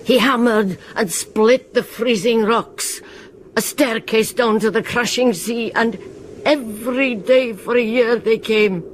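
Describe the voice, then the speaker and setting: A woman narrating a ghost legend in a hushed, rhythmic, ominous way. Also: fairly high pitch, takes it slowly, slight background noise. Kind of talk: slow dramatic storytelling.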